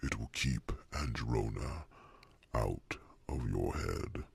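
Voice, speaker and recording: Very deep, gravelly old druid. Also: male voice, expressive, heavily acted. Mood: wry, gentle, hushed. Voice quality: very deep gravelly rasp, breathy. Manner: slow, deliberate, theatrical pauses. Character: ancient bearded druid, kindly, wry mentor to royalty. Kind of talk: ASMR fantasy roleplay acting.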